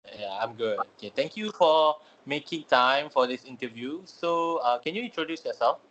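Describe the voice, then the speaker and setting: A man's voice: medium pitch, moderate pace, fairly bright tone, muffled recording, a little hiss or hum. A young man from Malaysia, a student asking interview questions hesitantly, with many fillers. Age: young adult.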